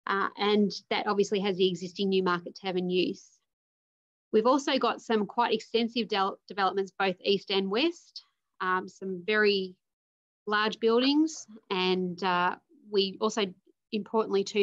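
A woman in her forties with an Australian accent, speaking as a councillor at a formal meeting, measured and careful as she reads out motion wording. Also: medium pitch, steady tone. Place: home or office room on a video call. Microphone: video call. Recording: dull lo-fi video call audio.

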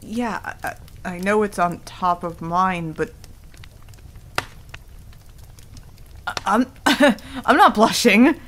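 A woman's voice: medium pitch, lively intonation, a little slow, fairly bright tone. A woman playing a prickly, easily flustered tsundere werewolf in a casual ASMR roleplay, with a defensive, stammering manner. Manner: casual, defensive, stammering when embarrassed, swears. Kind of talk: intimate ASMR roleplay acting. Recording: clearly audible background noise.

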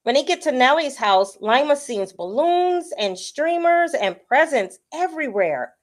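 A woman reading a children's picture book aloud as a storyteller, with expressive delivery. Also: high-pitched, measured pace.

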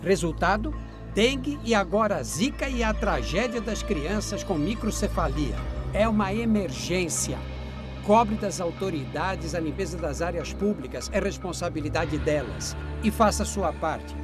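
Older man urging over loud music. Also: high voice, lively intonation, moderate pace. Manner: urgent, admonishing. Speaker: public service ad presenter. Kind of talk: scripted public service ad. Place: outdoors on a city street.

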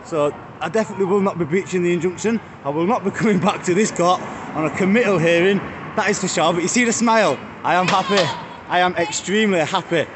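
High-voiced British man vlogging on the street. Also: quick pace. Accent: British English. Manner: chatty, addresses viewers as guys, mocking jabs. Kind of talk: walking selfie vlog chat. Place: outdoors on a city street. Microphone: phone held at arm's length. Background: faint street traffic.

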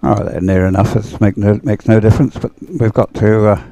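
A man giving chatty live sports commentary in a halting, unhurried way, as if thinking aloud. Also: fairly low pitch, fairly even intonation, dark tone, dry recording.

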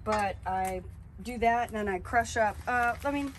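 A woman's voice: a little high, unhurried, slight background noise. Young woman, campsite vlog, outdoor ambience, chatty, lots of ums. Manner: chatty, excitable, trailing off with fillers. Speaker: vlogger. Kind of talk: casual camping vlog. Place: outdoor campsite beside a camper trailer. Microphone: camera on a tripod a few feet away.

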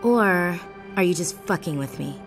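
A woman's voice: lowish voice, lively intonation, moderate pace. An actress playing a bloodthirsty young samurai schoolgirl assassin, intense and profane, in a video game. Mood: taunting. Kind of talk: dramatic video game voice acting. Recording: long reverb, music behind.

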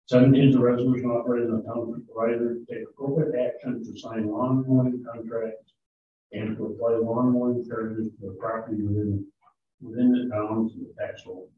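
A man chairing a town board meeting, briskly reading resolutions and dollar figures in a matter-of-fact way. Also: medium pitch, little pitch movement. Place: large town hall meeting room. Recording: distant echoey hall audio, thin phone-like sound, faint hiss.

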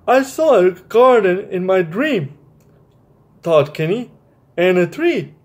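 A young man with a slightly high voice hosts a story time for children. He speaks very slowly and haltingly, often doubling back on a phrase as he addresses his young listeners. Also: big pitch swings, darkish tone. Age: young adult. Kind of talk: children's story time, read-aloud and chat to viewers. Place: small bright room indoors.

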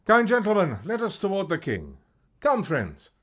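An actor playing an ambitious warrior thane in a Shakespearean radio drama, speaking in a formal, declamatory way. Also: male voice, sits high, wildly swooping pitch, normal speed, fairly bright tone, exaggerated voice. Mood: hearty. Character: ambitious Scottish warrior thane, outwardly loyal, secretly scheming.

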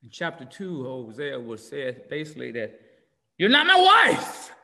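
A middle-aged man preaching an impassioned sermon from home over a video call, swinging from shouts down to hushed near-whispers in a repetitive cadence. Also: a little high, wildly swooping pitch, moderate pace, gravelly. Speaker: pastor. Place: home room with window blinds. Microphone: video call webcam. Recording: video call audio with long reverb.

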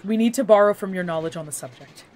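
An actress playing an earnest young scholar, speaking in formal, precise, methodical phrases for a fantasy audio drama. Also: female voice, medium pitch, fast talker, a little mellow, lightly acted. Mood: intent. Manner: formal, precise, bookish wording.

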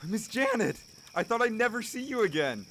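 A man playing an earnest, excitable boy scout raised by wolves in an audio drama. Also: high voice, normal speed, slight background noise. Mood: overjoyed.

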